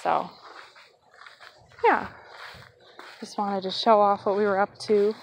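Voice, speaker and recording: A woman filming a relaxed, casual tour of her backyard garden on a phone, chatting with plenty of ums. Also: medium pitch, takes it slowly, slight background noise. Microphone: phone held while walking.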